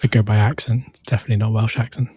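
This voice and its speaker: A man quickly chatting with friends on a gaming voice call, laughing easily. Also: lowish voice, little pitch movement, mellow, husky, no echo. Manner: quick, laughing, good-natured. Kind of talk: casual gaming voice chat.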